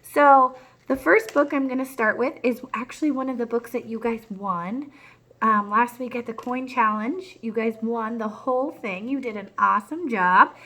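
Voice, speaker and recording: Woman, children's teacher: encouraging and chatty, slightly high bright voice, simple read-aloud lesson delivery. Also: normal speed. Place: at home, small child beside her.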